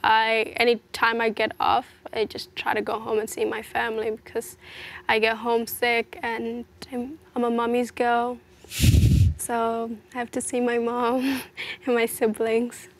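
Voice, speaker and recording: A woman's voice: on the high side, monotone, a little slow. A young woman from South Sudan, a fashion model, answering interview questions in an earnest, reflective way, often adding "you know". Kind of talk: casual personal interview.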